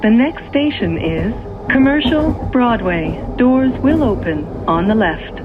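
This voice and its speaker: A woman reading scripted transit announcements in a measured, clearly segmented way, as a train announcer. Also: on the high side, expressive, loud music behind the voice. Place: played over speakers inside a train. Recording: tinny band-limited speaker, noisy train interior. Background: train and vehicle noise.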